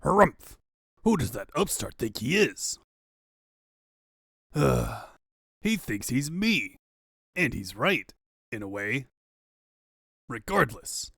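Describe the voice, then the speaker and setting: A man voicing a pompous, jealous evil-doctor villain in a comic fandub, loud and blustering. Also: mid-range voice, very animated, slow pace, gravelly, pauses often, slightly rough, no echo, studio quality, full character voice. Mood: indignant. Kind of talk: comic-book fandub cartoon villain acting.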